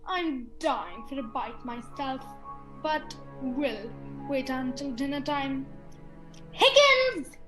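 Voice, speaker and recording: A woman's voice: high voice, lively intonation, slow, music in the background, poor audio. A woman playing a grand, ominously hospitable countess in a student radio play, stately and theatrical. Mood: gracious, commanding.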